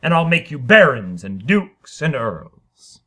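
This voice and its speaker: A man's voice: mid-range voice, sing-song, takes it slowly, sharp tone, heavily acted. A man voicing a huge, defiant young self-proclaimed emperor in a story reading, bellowing grandiose commands. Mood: grandly commanding.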